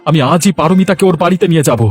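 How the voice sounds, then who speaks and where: A man's voice: a little high, very fast, close to an everyday voice. Cartoon role: male actor as decent, caring village uncle, earnest delivery, light music bed. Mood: indignant. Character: kind, morally upright village uncle, exasperated with greedy wife. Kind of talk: cartoon dialogue acting.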